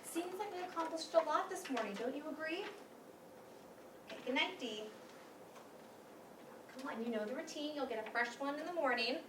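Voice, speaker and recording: Stern female psychiatrist acted by a woman, higher register, authoritative and probing; stage drama, echoey, noisy recording. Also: animated, normal speed, pauses often. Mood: brisk, authoritative. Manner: firm, questioning, authoritative. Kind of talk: staged play reading. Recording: echoey room, distant mic, clear noise.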